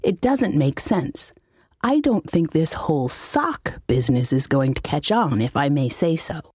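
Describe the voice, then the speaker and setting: Woman as a slow, deadpan laundry demon servant. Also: low voice, slightly rough, dry recording, studio quality, exaggerated voice. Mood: deadpan cheeky. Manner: deadpan, sardonic, formally insolent. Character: bored, cheeky servile male laundry demon with bulging belly. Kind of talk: audiobook character voice for comic fantasy story.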